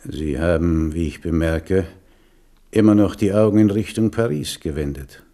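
An actor playing a stern, pious admiral in a 1970s radio drama, speaking in a measured, solemn way. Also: male voice, sits low, mellow, close to an everyday voice. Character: stern, pious old admiral and military leader.

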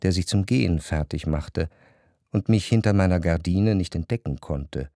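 A refined, reflective memoirist narrates a literary radio play. His voice sits on the low side and is recorded very dry. He phrases long sentences in a measured, deliberate way, as a careful observer. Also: mellow, dry recording. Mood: reflective, observant.

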